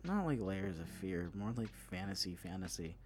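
A man's voice: sits low, very animated, normal speed, slight background noise. A man co-hosting a gaming podcast in casual chat, talking in a hesitant, rambling way and often repeating words. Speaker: co-host of a gaming news podcast.

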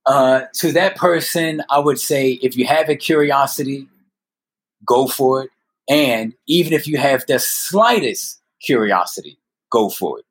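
A man in his fifties telling personal stories as a podcast guest, speaking earnestly and haltingly, repeating words for emphasis. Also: medium pitch, expressive, slightly rough. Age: 50s. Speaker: guest storyteller. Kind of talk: personal storytelling in a conversational interview.

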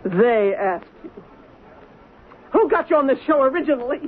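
A woman's voice: sits high, expressive, moderate pace, husky. A woman playing a proud, indignant middle-aged actress in an old-time radio drama. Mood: hurt fury. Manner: theatrical, incredulous. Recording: narrow tinny old radio, faint hiss.

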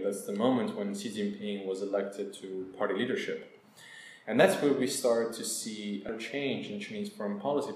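Young man from Italy, guest expert; low voice, measured delivery with many fillers, roomy echo and faint hiss. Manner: measured, with frequent filler pauses. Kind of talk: academic interview answers. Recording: echoey room, faint hiss.

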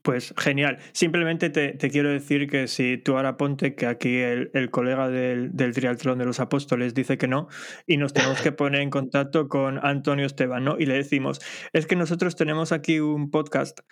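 A man's voice: mid-range voice, little pitch movement, moderate pace. A man with an Asturian accent hosting a podcast, joking and laughing through casual chat. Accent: Asturian Spanish. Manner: jokey and chatty, laughs often. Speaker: podcast host.

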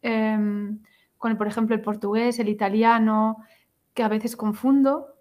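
Encouraging host, higher voice. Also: female voice, level tone, measured pace, a little mellow. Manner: encouraging, frequent affirmations, asks follow-ups. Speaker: podcast host. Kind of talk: casual interview.

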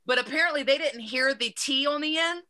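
Bright-toned Southern woman chatting. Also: high voice, a little fast, slight background noise. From Virginia, US. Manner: chatty, trails off and restarts. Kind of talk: casual chat.

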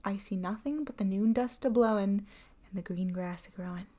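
A woman's voice: mid-range voice, normal speed, dark tone, lightly acted. A woman voicing a watchful young sister reporting what she sees from a lookout, in a storybook reading.

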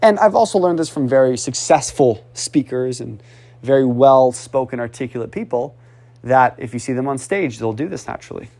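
Animated, sighing male speech coach. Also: medium pitch, moderate pace. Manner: animated, repetitive, urging, with audible sighs. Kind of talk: casual coaching talk.

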